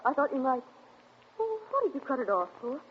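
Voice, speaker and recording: Affectionate wife, soft and husky, intimate 1940s radio style, dull old recording. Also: female voice, sits high, big pitch swings, a little fast, full character voice. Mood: tender, wistful. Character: soft-spoken, knowing wife, gently affectionate.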